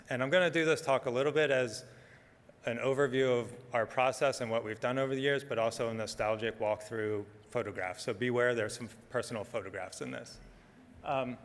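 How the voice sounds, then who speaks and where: A soft-spoken middle-aged man giving a relaxed conference talk, with frequent ums as he walks through slides. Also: medium pitch, in a large hall. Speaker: conference speaker. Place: conference hall.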